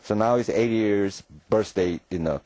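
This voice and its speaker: Middle-aged Korean-accented male conductor; low, warm, dry; halting English. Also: no echo. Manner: halting English with many fillers. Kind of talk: radio interview guest.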